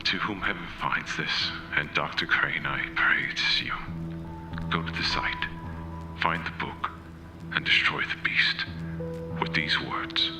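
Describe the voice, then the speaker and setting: A very deep, slow voice of doom, solemn and half-whispered, intoning ancient banishing words as if from a cursed record. It belongs to a horror audio drama, with loud music swelling over every phrase. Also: male voice, rough recording. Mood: grave, urgent. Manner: solemn, drawn-out incanting. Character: ominous man on a record chanting ancient banishing incantations.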